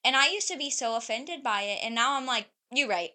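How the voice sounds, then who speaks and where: Blunt, bright-voiced woman in her twenties. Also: on the high side, fairly quick. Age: 20s. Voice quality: loose, swearing, rambling with fillers. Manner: blunt, animated storytelling. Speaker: podcast co-host. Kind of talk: casual chat.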